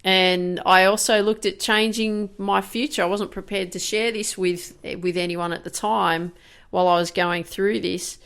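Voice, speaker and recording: A woman in her fifties hosting a podcast, speaking candidly and haltingly in a reflective personal monologue, with many restarts and fillers. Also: mid-range voice, fairly even intonation, fairly bright tone, clean tone. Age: 50s. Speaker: podcast host.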